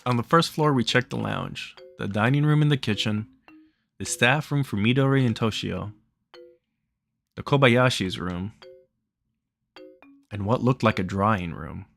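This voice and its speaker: Low-voiced man reading a game aloud. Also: measured pace, vocal fry, pauses often, fairly bright tone, faint music behind. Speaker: let's play host reading the game's text aloud. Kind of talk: casual playthrough commentary mixed with read-aloud narration.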